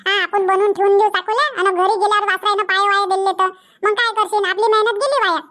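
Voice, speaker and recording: Super squeaky sharp little boy, keeps wheedling, varhadi marathi accent, cartoon comedy. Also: flat delivery, fast. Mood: persuading. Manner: insistent, cajoling. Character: pushy, wheedling village boy, the friend.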